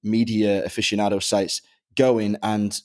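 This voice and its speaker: Podcast host guy, lowish voice, gushing long questions. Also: a little slow. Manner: enthusiastic, flattering questions. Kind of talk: casual podcast interview.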